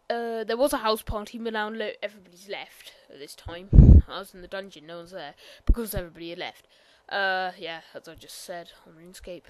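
A woman giving a casual, rambling game tour voiceover, hesitant and full of ums and apologies, sometimes bursting out loud. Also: medium pitch, clear, resonant tone, no room sound. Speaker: gameplay commentator.

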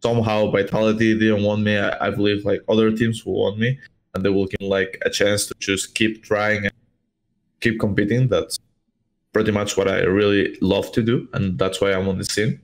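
Young man, low-pitched. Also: flat delivery, normal speed, low-quality recording. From Portugal. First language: Spanish. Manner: rambling, many fillers and restarts. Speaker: interview guest, esports player. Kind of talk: casual interview over video call. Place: small room at home. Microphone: headset on video call.

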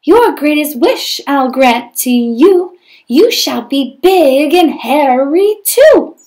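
A woman's voice: high voice, animated, takes it slowly, a little mellow. A woman voicing a bungling little fairy godmother from a children's storybook, chanting her spells emphatically. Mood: incanting. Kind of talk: children's storybook read-aloud.

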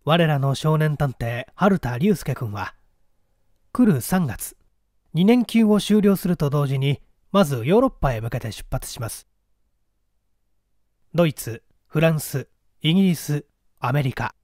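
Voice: medium pitch, expressive, unhurried, pauses often, darkish tone, no echo, clean studio sound. An audiobook narrator reading a story theatrically, addressing listeners in a formal storyteller manner.

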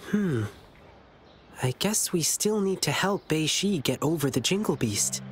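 A man's voice: fairly high pitch, animated, a little slow, slight background noise. An actor playing an earnest, kindly young lion-boy in a video game, reassuring and thoughtful beyond his years. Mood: friendly, thoughtful. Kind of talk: video game character dialogue. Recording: clear music behind the dialogue.

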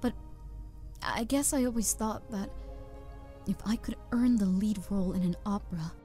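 A woman's voice: mid-range voice, moderate pace, warm tone, natural voice. A woman voicing an earnest young opera chorus singer who reflects on her past, in a fan audio drama with faint music behind.

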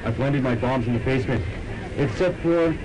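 A man plays a young, gleefully nonchalant bomb-maker in a radio comedy. His medium-pitched voice is offhand and deadpan. The amateur student recording is clearly noisy. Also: moderate pace, natural voice. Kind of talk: campy college radio drama acting.

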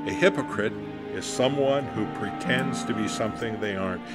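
A man's voice: fairly low pitch, moderate pace, natural voice. An actor playing an earnest church class leader addressing his congregation in an audio drama. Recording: long hall reverb, faint music.

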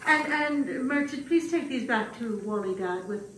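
A woman voicing a gracious, puzzled queen in a puppet show, musing politely and haltingly. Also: fairly high pitch, lively intonation, natural voice. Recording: roomy, distant mic with background noise.

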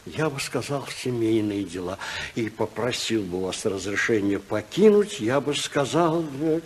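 A man's voice: on the low side, animated, moderate pace, slight background noise, close to an everyday voice. An actor playing an evasive, mealy-mouthed clergyman in a 1950s radio drama, hesitant and apologetic, on an old recording. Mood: nervous, apologetic.

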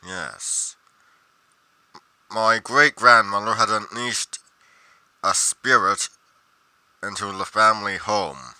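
A man's voice: on the low side, takes it slowly, pauses often, bright, forceful tone, no room sound, heavily acted. A man playing a pedantic, musing paranormal investigator narrating his journal theatrically in a game roleplay, pompous and dramatically emphatic. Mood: grave, dramatic.

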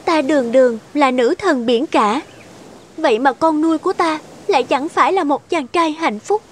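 A woman voicing a gracious, motherly sea goddess in a cartoon, with a stately, measured manner. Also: high voice, a little hiss or hum, lightly acted. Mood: caring, solemn. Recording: loud music under the voice.

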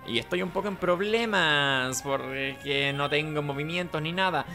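A man's voice: on the high side, moderate pace, pushed and bright. Chilean man muttering game commentary over music. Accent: Chilean Spanish. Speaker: gaming video host. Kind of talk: casual playthrough commentary. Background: video game music behind the voice.

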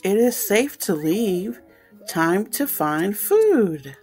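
Slow-paced woman reading to children. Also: mid-range voice, sing-song, a little mellow, soft background music. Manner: deliberate, simple explaining to young children. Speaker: storytime host reading aloud. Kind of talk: children's picture book read-aloud.

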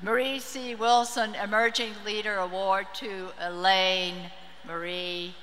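A woman's voice: medium pitch, little pitch movement, takes it slowly, a bit bright, a little hiss or hum. An older woman presents an award from a podium, speaking in a formal, announcing manner. Her voice sits mid-range, carried by a long hall reverb with a faint murmur of the room behind it. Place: large theater stage with reverberant hall. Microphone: podium microphone.

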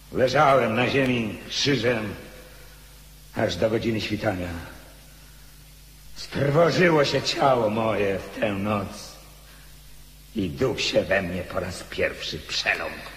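A man's voice: lowish voice, a bit bright, slight background noise. An actor playing the proud, defiant ghost of a rebel nobleman in a declamatory radio drama style. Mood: anguished, subdued. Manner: declamatory, slow, impassioned. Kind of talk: theatrical radio drama acting. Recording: echoey hall with long reverb.

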